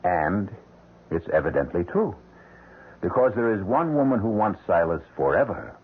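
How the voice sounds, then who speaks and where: A man narrating an old-time radio drama as its host, with a measured, wry, theatrical delivery. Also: low-pitched, very animated, husky. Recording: muffled old radio broadcast, faint noise.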